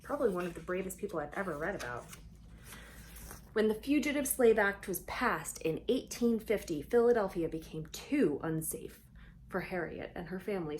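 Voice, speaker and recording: Woman reading to children, noisy background. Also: medium pitch. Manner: expressive, asks young listeners questions. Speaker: children's storybook reader. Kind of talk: read-aloud storytelling for kids with conversational asides.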